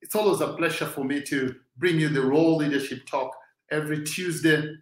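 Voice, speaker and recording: A man's voice: a little high, normal speed, roomy sound. A Kenyan man with a Swahili-speaking background, giving a rousing, preacher-like motivational talk as host.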